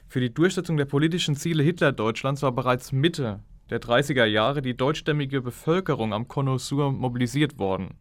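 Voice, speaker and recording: A man's voice: medium pitch, no echo. A man delivering formal, academic exposition in long, orderly sentences as an expert commentator.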